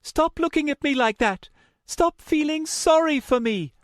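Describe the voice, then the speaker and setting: A man's voice: high-pitched, animated, normal speed, a little mellow, no echo, clean studio sound, pitched above the performer's own voice. A man voicing a frightened, defiant young woman in an audiobook story, strained, emotional and insistent. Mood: snapping.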